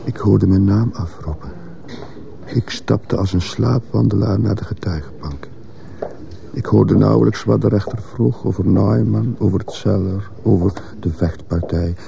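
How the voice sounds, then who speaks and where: Deep, gravelly, guilt-ridden schoolteacher. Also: male voice, a little slow. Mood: tense confession. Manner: sombre, introspective. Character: guilt-ridden male schoolteacher, confessing, brooding narrator. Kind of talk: radio drama narration and acting. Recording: noisy old radio play recording.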